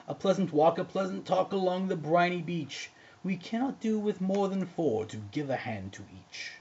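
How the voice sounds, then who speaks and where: A man's voice: high voice, animated, moderate pace, a little mellow, highs cut off, pitched above the performer's own voice. A man voicing a pompous, talkative storybook walrus who feigns kindness and declaims grandly. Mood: coaxing.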